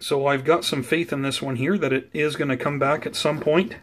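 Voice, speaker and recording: A man giving a casual, unscripted plant update in an unhurried, explanatory, conversational way, recorded indoors on a handheld camera. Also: on the high side, steady tone. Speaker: host of a plant-growing video channel. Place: indoors at home beside potted seedlings.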